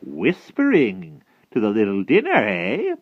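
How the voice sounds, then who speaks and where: A man's voice: fairly low pitch, wildly swooping pitch, measured pace, dry recording, muffled recording, voice pushed up, full character voice. A man voicing a cruel storybook witch, an older woman who gloats and coos with theatrical menace. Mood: scolding. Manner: theatrical cooing, gleefully menacing.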